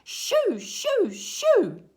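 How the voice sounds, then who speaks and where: A woman's voice: sits high, expressive, drawn-out words, pitched above the performer's own voice, exaggerated voice. A woman voicing an earnest, easily upset little boy in a children's story, plaintive and exclaiming. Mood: scolding.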